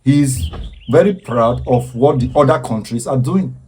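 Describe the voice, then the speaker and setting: A man's voice: medium pitch, unhurried, a little mellow, slightly rough. A man giving impassioned social commentary in an earnest, preaching way, often stopping to repeat himself.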